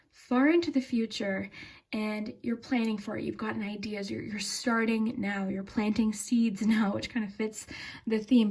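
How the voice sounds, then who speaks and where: A woman hosting a casual, unscripted tarot reading, speaking gently and calmly and often trailing off quietly. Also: a little high, husky. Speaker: tarot reader and channel host.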